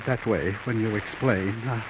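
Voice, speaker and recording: An actor playing a gullible, lovelorn wealthy man, halting and flustered, in a 1940s radio drama. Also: lowish voice, lightly acted. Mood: sheepish, resigned. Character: hesitant, lovelorn, gullible wealthy male collector. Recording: hissy, muffled vintage radio recording.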